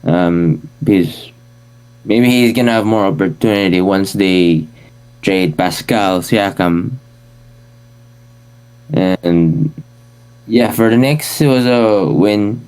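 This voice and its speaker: A young man, co-hosting a sports podcast, calm and a bit hesitant. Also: on the low side, takes it slowly, pauses often, clean tone, rough recording. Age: 20s. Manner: calm, hesitant, repeats words. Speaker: podcast co-host. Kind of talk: casual sports podcast chat.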